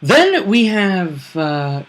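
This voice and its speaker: A man's voice: high voice, very animated, drawn-out words, fairly bright tone. A man hosting a role-play vidcast, chatting casually through a game recap in a jokey, sweary way.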